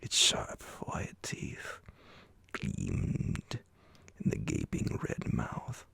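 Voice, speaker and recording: A man reading a horror bedtime story in a slow ASMR audiobook style, with a gravelly, breathy voice that often sinks toward a whisper and dramatic, drawn-out phrasing. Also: pushed and bright. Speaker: narrator reading a classic horror story aloud.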